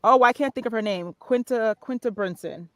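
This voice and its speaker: A woman's voice: a little high, normal speed, dry recording, studio quality. A woman guest on a podcast, chatting casually about narration work and acting out little examples as she explains. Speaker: guest, voiceover artist. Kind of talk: casual interview talk.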